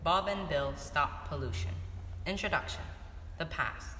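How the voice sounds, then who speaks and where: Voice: mid-range voice, lively intonation, a little slow, loud music behind the voice. A young teenager reading a scripted story aloud as a student narrator. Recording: echoey hall, noisy background.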